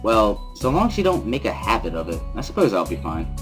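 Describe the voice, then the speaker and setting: A man's voice: lowish voice, sing-song, a little fast, faint music behind. A man giving a first-person scripted narration, reading a visual novel aloud as a dramatic reading. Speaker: reader of a dramatic reading series.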